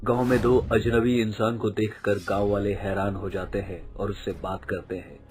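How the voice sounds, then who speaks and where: A man's voice: lowish voice, level tone, normal speed, a little mellow, soft background music. A man narrating a scripted story as its storyteller.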